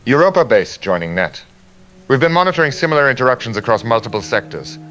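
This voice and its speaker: A man playing a somber, analytical survivor radio operator, measured and bleak, in an audio drama. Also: mid-range voice, animated, a bit bright, faint music behind, natural voice. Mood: analytical, grave. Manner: measured, matter-of-fact, bleak. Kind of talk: post-apocalyptic audio drama acting.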